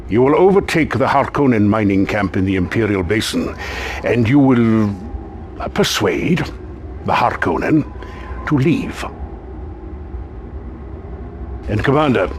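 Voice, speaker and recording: An actor playing a proud, gruff military mentor in a game cutscene, with declamatory, emphatic delivery and dramatic pauses. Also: male voice, lowish voice, a little hiss or hum. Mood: pointed, commanding. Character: proud, gruff male military mentor loyal to his Duke. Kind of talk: theatrical game cutscene acting.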